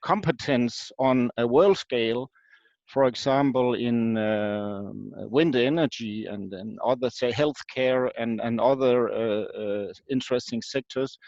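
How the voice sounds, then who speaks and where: A man from Denmark with a Danish accent, explaining as an expert interview guest in a halting, hesitant way with frequent ums and pauses. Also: medium pitch, a little mellow, no echo. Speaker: guest expert, marketing professor. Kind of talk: unscripted explanation in an online interview. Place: video call. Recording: dull lo-fi online call audio.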